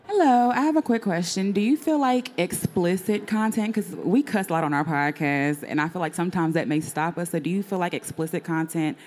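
A woman's voice: lowish voice, a little fast, hardly any pauses, a little mellow, a little hiss or hum. A woman in the audience politely asking a question, a little hesitant. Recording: heard from far off in the room.

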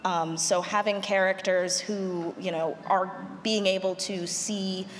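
A woman's voice: medium pitch, steady tone, takes it slowly. A woman speaking off the cuff as a panelist and audio drama creator, thinking aloud about the craft. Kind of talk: unscripted panel talk. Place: large panel room at a convention. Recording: echoey hall, noisy, roomy pickup.

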